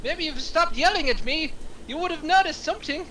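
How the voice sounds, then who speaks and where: Man acting a high-pitched flustered gangster driver. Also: a little fast, bright, forceful tone. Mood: panicked, irritated. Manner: exclamatory, bickering. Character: flustered, defensive, foul-mouthed young male gangster driver. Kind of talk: screenplay table read. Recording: clear background noise.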